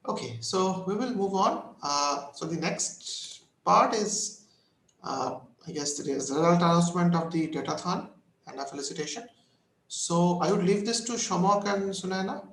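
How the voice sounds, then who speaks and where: Male moderator, polite and hesitant with fillers, slightly high voice, roomy echo. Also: moderate pace, a bit bright. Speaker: session moderator. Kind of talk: hosting remarks. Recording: echoey room.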